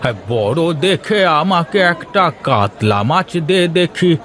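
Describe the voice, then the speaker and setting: A man's voice: a little high, very animated, a little slow, a bit bright. A man voicing a kindly, homely older village father in a cartoon, with a hearty manner. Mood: homely, businesslike. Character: kindly older village father visiting his married daughter.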